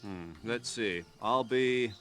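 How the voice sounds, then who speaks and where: An actor playing an authoritative, playful military commander, giving a formal, measured briefing in a stealth action game. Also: male voice, mid-range voice, over loud music, close to an everyday voice. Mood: composed.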